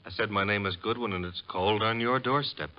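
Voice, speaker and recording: A man's voice: fairly low pitch, fairly quick, close to an everyday voice. A man with an American accent playing a cocky, wisecracking detective's assistant in an old-time radio drama, glib and teasing. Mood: glib, flippant. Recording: muffled, noisy vintage radio recording.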